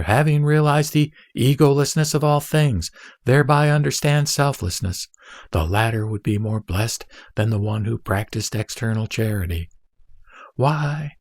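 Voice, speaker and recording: A man playing the Buddha as a patient, emphatic teacher in a scripted sutra audio drama, declamatory and deliberate. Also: mid-range voice, dry recording, clean studio sound.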